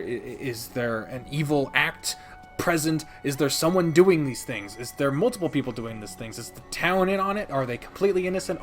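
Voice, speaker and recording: A man giving opinionated, conversational review commentary as a video creator, speaking emphatically with dramatic build-ups and casual swearing. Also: mid-range voice. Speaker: video creator ranking favorite games.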